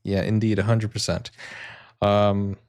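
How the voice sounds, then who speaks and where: A man's voice: lowish voice, flat delivery, a little slow, darkish tone. A Brazilian man whose first language is Portuguese, speaking English with an American accent, hosting a reaction video in an earnest, rambling way with many fillers. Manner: earnest, addresses viewers directly. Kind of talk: casual reaction commentary.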